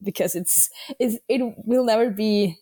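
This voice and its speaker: A young woman from Greenland, a native Danish speaker, answering interview questions in English with many pauses and restarts. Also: mid-range voice, mellow, slightly rough, no room sound. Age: young adult. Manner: hesitant, many fillers and restarts. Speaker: guest athlete. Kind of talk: casual interview.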